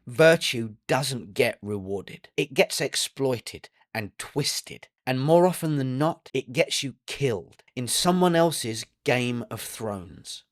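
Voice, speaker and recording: A man's voice: fairly high pitch, no room sound, clean studio sound. A man delivering a scripted video essay with an earnest, emphatic storytelling manner. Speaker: video essay narrator and reviewer. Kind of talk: scripted dramatic commentary.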